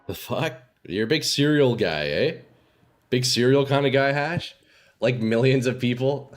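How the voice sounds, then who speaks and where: A man's voice: medium pitch, lively intonation, a little slow, soft background music. A young man streaming a game live with a stuffy nose, chatting with viewers in a laid-back, slangy way at a desk microphone.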